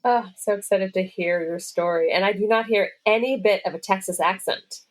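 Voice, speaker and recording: A woman from the Boston area hosts a podcast interview. Her voice is mid-pitched, with no regional accent. She speaks with eager enthusiasm and keeps encouraging her guest. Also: normal speed, poor audio. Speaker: podcast host. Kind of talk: casual interview.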